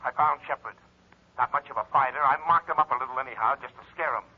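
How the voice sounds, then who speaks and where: An actor playing a rough, agitated sailor pleading urgently over a telephone in an old-time radio drama. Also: male voice, medium pitch, fast talker. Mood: anxious, urgent. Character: agitated, rough male sailor phoning for help. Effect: telephone filter. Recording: muffled phone voice on old radio recording.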